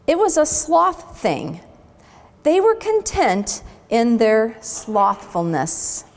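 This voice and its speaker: A woman's voice: on the high side, huge pitch swings, takes it slowly, darkish tone. A woman working as a zoo educator, presenting a children's storytime in a reverberant barn. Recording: long echoing hall reverb.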